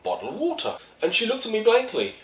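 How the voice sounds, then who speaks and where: A middle-aged man with an East London accent tells wry, self-deprecating stories, his pitch rising and falling a lot. He sits back from the mic in an echoey room. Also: huge pitch swings, fast, distant mic. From East London, England. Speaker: vlogger telling stories. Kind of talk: casual storytelling monologue.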